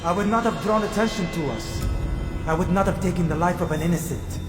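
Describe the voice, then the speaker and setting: A man's voice: high voice, fairly quick, natural voice. An actor playing an earnest, reproachful male assassin in a video game, scolding with urgency. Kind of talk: video game cutscene acting. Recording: echoey hall, music behind.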